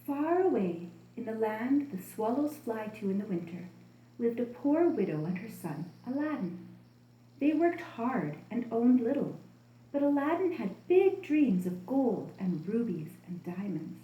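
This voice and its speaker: Woman, children's storyteller, lively rise and fall, soft mellow tone, echoey room. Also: fairly high pitch, a little slow, a little hiss or hum. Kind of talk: expressive storybook narration for children. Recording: roomy, echoing room sound.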